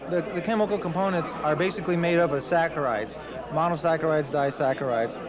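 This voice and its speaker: A man with a slightly high voice explains something earnestly in an interview. A busy crowd chatters clearly behind him. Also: quick pace. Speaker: interviewee at a pre-race dinner.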